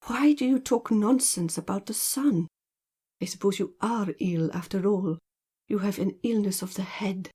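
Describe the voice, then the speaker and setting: A woman's voice: medium pitch, moderate pace, warm tone, slightly rough, close to an everyday voice. A woman voicing a proud, blunt young country woman in an audiobook, speaking in curt, plain sentences. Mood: puzzled, blunt. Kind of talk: audiobook character dialogue.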